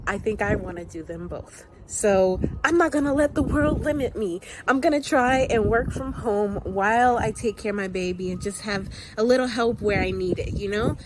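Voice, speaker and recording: A woman's voice: medium pitch, normal speed, background noise. A woman in her twenties, a new mother, talking upbeat and chatty to her viewers in a casual vlog, filmed on a phone in a car. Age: 20s. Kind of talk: casual vlog talk to camera. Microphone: phone camera. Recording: loud background music over voice.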